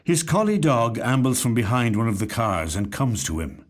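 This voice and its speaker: A man reading a literary short story in a measured, reflective way. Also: medium pitch, big pitch swings. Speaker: actor reading short stories. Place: recording studio.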